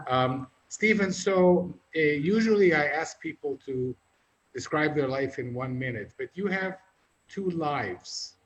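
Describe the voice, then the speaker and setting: A man's voice: medium pitch, low-quality recording. A man hosting a casual video call interview, informal and joking with his guest. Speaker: host.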